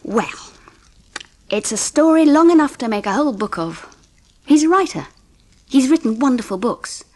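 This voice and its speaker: A woman playing a gentle English mother in a dramatised audiobook, narrating and speaking in a tender, measured way. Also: high-pitched, animated, no room sound. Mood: explaining. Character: gentle, weary English mother recounting family events. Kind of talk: scripted first-person narration and audiobook drama.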